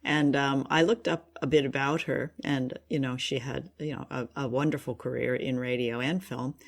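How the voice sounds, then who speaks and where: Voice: medium pitch, steady tone, normal speed, gravelly. A co-host of a radio drama review show, chatting casually in an appreciative, effusive way.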